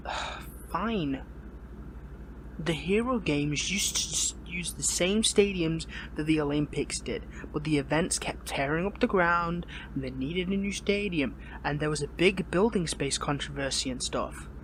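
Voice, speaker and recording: A man playing a wounded young captive hero in an audio roleplay, wry and defiant, speaking haltingly with a pained, weary strain. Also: a little high, a bit bright, a little hiss or hum, poor audio. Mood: confused, exasperated. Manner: halting, sardonic, stammering. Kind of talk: dramatic audio roleplay, one-sided dialogue.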